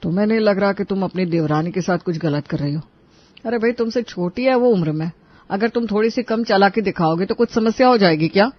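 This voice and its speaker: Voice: medium pitch, brisk, no room sound, lo-fi sound, close to an everyday voice. A performer voicing a henpecked husband in a family cartoon, reasoning and placating. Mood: reproachful, resigned. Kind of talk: Hindi family cartoon voice acting.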